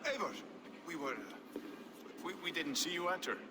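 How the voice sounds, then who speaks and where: A man playing a salty, wry medieval warrior in a game cutscene, talking in an animated, colloquial way. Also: fairly high pitch, normal speed, full character voice. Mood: sheepish. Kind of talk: dramatic video game cutscene acting.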